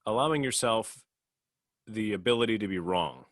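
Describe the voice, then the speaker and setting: A man with a fairly low voice speaks as a podcast panelist. His delivery is earnest and reflective, circling back on phrases as he goes, in a dry recording with little echo. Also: expressive. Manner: earnest, halting with repeated phrases. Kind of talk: casual, reflective discussion.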